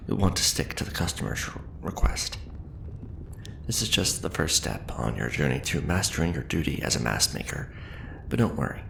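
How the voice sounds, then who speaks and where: A man doing a soft-spoken ASMR roleplay as a veteran craftsman, patiently and encouragingly instructing an apprentice in measured phrases. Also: very deep voice. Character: veteran mask maker patiently training a new apprentice. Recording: roomy echo with clear background noise.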